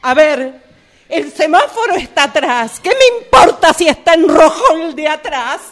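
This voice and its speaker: Radio play role: indignant female motorist, acted by a woman, high voice, measured pace, insistent and argumentative. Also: sing-song, slight background noise. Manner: protesting, insistent, curtly polite. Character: indignant, argumentative female driver stopped by an officer. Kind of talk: radio theatre workshop monologue.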